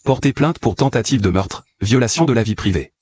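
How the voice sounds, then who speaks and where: Cold commanding CEO. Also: male voice, medium pitch, expressive, brisk, darkish tone, breathy edge. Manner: curt, commanding orders. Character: cold commanding young male CEO, lovesick. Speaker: cold company boss. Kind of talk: dubbed drama acting.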